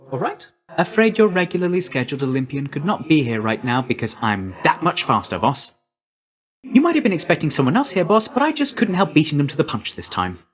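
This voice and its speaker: A man voicing a breezy, chummy young messenger god in a video game, with a slangy, glib, friendly manner. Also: fairly high pitch.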